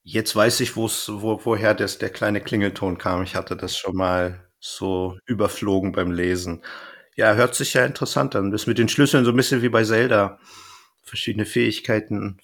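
A man's voice: fairly low pitch, fairly even intonation, normal speed, a bit bright. A man hosting a podcast, moderating in a casual, chatty style. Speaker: podcast host.

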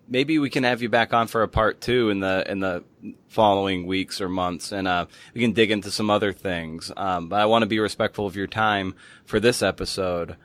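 A man's voice: fairly low pitch, a bit bright. A man hosting a podcast interview in a casual, conversational way, restarting phrases as he thinks aloud.

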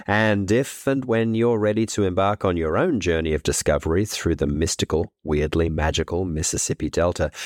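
A man's voice: lowish voice, dry recording, studio quality. A man hosting a podcast, reading a scripted outro announcement. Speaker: podcast host.

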